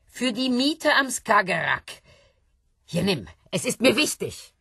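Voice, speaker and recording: Radio drama: worldly, restless rich older widow, hard-drinking, husky, very wide swings of pitch. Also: female voice, very animated, slow pace, pushed and bright, no echo, studio quality, exaggerated voice. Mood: insistent, boastful. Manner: theatrical, worldly, swinging from murmurs to outbursts. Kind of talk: radio play acting.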